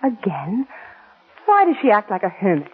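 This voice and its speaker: An actress playing a possessive, jealous young wife in an intense, melodramatic 1940s radio drama style. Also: female voice, mid-range voice, huge pitch swings, normal speed, husky, heavily acted. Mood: breezy, probing. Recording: muffled, scratchy 1940s radio broadcast.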